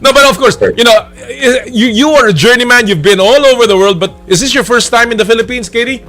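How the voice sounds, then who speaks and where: High-pitched chatty male host. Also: fast talker, fairly bright tone, faint music behind. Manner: friendly, chatty, laughs often, repeats phrases. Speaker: show host. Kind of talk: live sports talk show interview.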